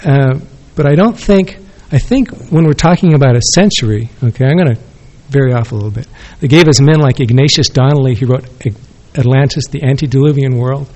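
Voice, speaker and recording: A man's voice: medium pitch, brisk, hardly any pauses, darkish tone. Rambling man at a conference podium. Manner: rambling, self-correcting, conversational. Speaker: conference respondent. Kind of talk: academic conference response. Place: conference room with audience. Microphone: podium microphone.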